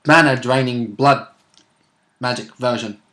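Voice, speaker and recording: A man's voice: mid-range voice, unhurried, sharp tone. A man chatting casually as he recaps a tabletop game session, informal and sweary. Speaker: tabletop role-playing player.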